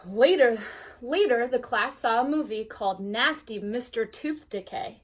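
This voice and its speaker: High-voiced woman reading a storybook to children. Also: unhurried. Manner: expressive, addressing young listeners directly. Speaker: teacher reading a bedtime story to first graders. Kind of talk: storybook read-aloud with questions to children.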